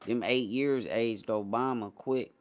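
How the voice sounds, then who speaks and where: A man's voice: mid-range voice, fairly even intonation, unhurried. An American man hosting a casual livestream talk show, speaking in a blunt, slangy, dismissive way. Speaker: host of a morning talk show.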